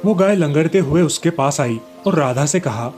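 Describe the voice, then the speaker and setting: Higher-pitched man narrating a children's story. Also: lively intonation, normal speed, a little mellow, faint music behind.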